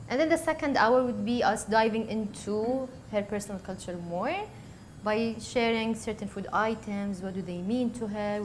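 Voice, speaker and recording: A woman introducing a group session informally as its host, explaining things in short phrases. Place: room in a home. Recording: faint rumbling room noise.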